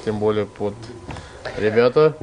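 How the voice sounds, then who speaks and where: A man's voice: lowish voice, a little slow, dull audio, a loud crowd. A man speaking casually, greeting visitors as their local host. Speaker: comedy team member.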